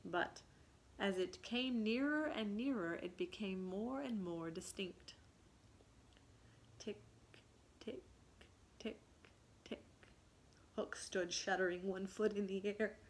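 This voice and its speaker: A woman teacher reading a children's story aloud with dramatic expression and pausing to ask questions. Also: medium pitch, slight background noise.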